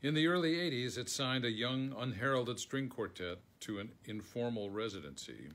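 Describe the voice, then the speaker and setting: An older American man reading a written foreword aloud in a measured manner, recorded indoors on a phone. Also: mid-range voice, expressive, fairly bright tone. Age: older, around 70. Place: book-lined room at home, indoors.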